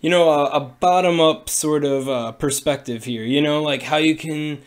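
Young male streamer, slightly high, raspy and hoarse from a cold, chatty and rambling, webcam sound in a small room. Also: normal speed. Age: young adult. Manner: rambling, many fillers and restarts. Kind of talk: casual livestream explainer. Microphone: webcam at desk.

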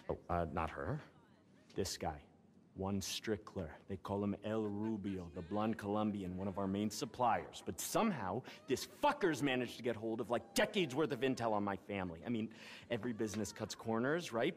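A cocky young American rich kid from a crime family, played by a male actor, pitching like a salesman in a game cutscene. His pitch jumps around freely and his voice rings in a big reverberant space. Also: close to an everyday voice. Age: young adult. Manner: cocky salesman patter, corporate buzzwords, swearing. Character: brash, entitled young crime heir with big plans. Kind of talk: video game cutscene acting.

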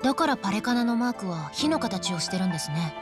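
A woman's voice: medium pitch, sing-song, fast, music in the background, lightly acted. A woman playing an inquisitive, attentive young woman in a video game. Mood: curious. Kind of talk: scripted Japanese video game dub.

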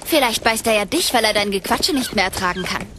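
A woman's voice: medium pitch, lively intonation, a little fast, noisy background, lightly acted. A woman playing a blunt, feisty but loyal young dragon rider in a fantasy audio drama. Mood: sarcastic, annoyed. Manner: blunt, slangy, sarcastic.

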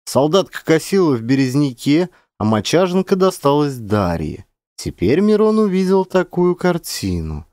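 Male narrator for a classic tale, expressive actor's reading, very varied intonation, dry close sound. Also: on the high side, no room sound. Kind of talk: expressive literary storytelling.

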